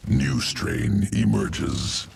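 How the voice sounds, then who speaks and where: A man's voice: lowish voice, very animated, drawn-out words, gravelly, heavily acted. A man voicing a cold, clinical male alien evolution master in a game cutscene, speaking in terse clipped fragments. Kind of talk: video game cutscene acting. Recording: distant game audio with loud music.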